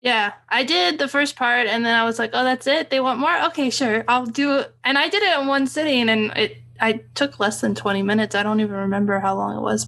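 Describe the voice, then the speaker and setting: Female podcast host, thirties, American, slightly high voice, informal interview chat on a video call. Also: steady tone, fairly quick, gravelly, hardly any pauses, fairly bright tone. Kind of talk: casual conversational interview. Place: home room with bookshelves.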